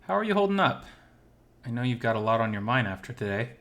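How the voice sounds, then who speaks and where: A man acts out a kindly male mentor from a furry visual novel. He is reassuring and likes to tease gently, in a medium-pitched voice. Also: big pitch swings, quick pace. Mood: caring.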